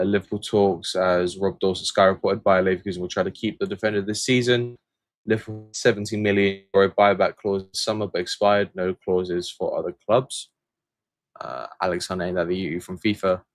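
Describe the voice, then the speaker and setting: Low-voiced Englishman rambling on a livestream. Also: no echo. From England. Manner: rambling and hesitant, with frequent fillers and restarts. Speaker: channel host answering viewer questions. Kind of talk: casual livestream chat, reading out viewer comments.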